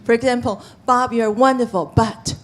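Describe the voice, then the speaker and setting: Laughing teacherly woman with higher voice. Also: steady tone, normal speed. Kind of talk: coaching comments on a panel.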